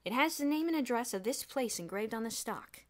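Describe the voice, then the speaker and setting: A woman voicing a harried male police officer speaking bluntly and loudly. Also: on the high side, lively intonation, a little fast, pitched above the performer's own voice, lightly acted. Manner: blunt, loud.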